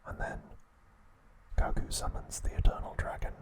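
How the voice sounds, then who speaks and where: A man narrating a scripted recap in a soft, breathy ASMR whisper, slow and deliberate, in short phrases. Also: low-quality recording.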